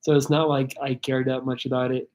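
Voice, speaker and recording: A man from Belgium chatting casually on a livestream, answering viewer questions in a rambling, halting way with many restarts. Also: mid-range voice, level tone, a little mellow, lo-fi sound. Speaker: YouTuber answering live chat.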